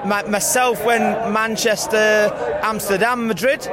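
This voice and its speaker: A man with a Warrington accent, a football fan answering a street interview in a halting way, with many restarts. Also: high voice, fairly even intonation. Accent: English, Warrington. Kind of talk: street vox pop interview. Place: busy city street among fans. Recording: loud crowd behind.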